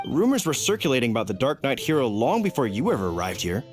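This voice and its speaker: An actor playing an earnest, courteous young knight on guard duty in a video game. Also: male voice, medium pitch, wildly swooping pitch, fast talker, faint music behind. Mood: puzzled, polite. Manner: polite, earnest.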